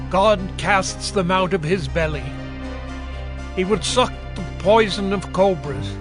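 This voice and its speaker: An indignant moralist in a scripture audio drama, declaiming against the wicked. The high-pitched voice moves slowly and censoriously, with music clearly playing behind it. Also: male voice.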